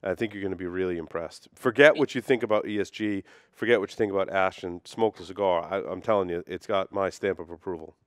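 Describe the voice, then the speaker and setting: A man's voice: sits low, fast, few pauses, no room sound, clean studio sound. A man co-hosting a casual podcast, giving earnest, emphatic opinions. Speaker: podcast co-host. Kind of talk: casual chat reviewing cigars.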